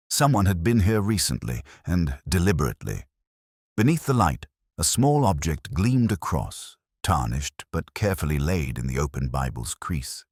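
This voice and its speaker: A man voicing a weary middle-aged Oxford detective, narrating in first person in a measured, reflective way. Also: rumbling low, no room sound, clean studio sound, full character voice. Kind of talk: scripted audio drama narration.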